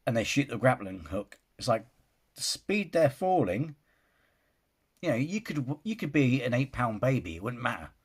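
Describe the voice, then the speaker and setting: Husky-voiced man rambling on. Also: medium pitch, big pitch swings, normal speed. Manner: rambling, restarts sentences, often says "you know". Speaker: film reaction vlogger. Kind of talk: casual opinion vlog.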